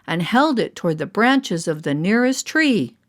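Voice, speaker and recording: A woman narrating a children's story in a theatrical, deliberate way, with music behind her. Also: medium pitch, big pitch swings. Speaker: storyteller and voice artist.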